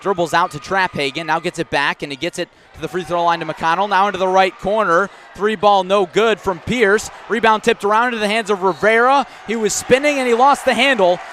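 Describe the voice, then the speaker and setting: Higher-pitched man calling basketball play-by-play. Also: fairly quick, few pauses, a bit bright. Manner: clipped running description, rising on big plays. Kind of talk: live radio sports commentary. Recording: faint gym noise, bouncing balls. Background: basketball game in a gym.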